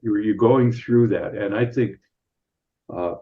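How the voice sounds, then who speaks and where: A man's voice: lowish voice, moderate pace, dull audio. An older American man speaking as an interview guest in a casual, reminiscing, conversational style. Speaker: interview guest, immigration historian.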